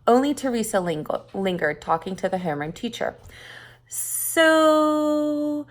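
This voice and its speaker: A woman's voice: lowish voice, animated, fast, darkish tone. Woman reading a school story aloud. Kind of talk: read-aloud narration of a children's short story.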